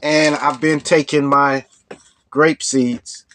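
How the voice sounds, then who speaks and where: A hoarse man with a cold telling a rambling personal story as the host of a storytelling channel. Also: medium pitch, fairly even intonation, slow pace, a bit bright, slight background noise. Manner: rambling, full of "you know". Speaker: storytelling vlogger. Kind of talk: casual storytelling.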